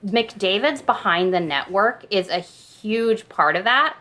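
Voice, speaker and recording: A woman's voice: mid-range voice, animated, takes it slowly, a bit bright. A woman hosting a hockey trivia quiz on a podcast in casual, conversational talk.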